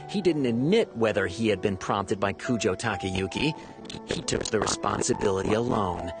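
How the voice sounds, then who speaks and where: An actor playing a cynical, gossipy police officer in a video game, emphatic and sure of himself. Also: male voice, lowish voice. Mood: knowing. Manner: emphatic, speculative.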